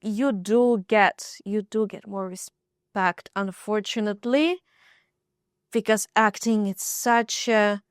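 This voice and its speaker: Woman panelist, Ukrainian accent, smooth voice, dry close sound, searching phrasing. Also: mid-range voice, slow, no echo. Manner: thoughtful, searching for words. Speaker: panelist, filmmaker and actor. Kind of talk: roundtable discussion.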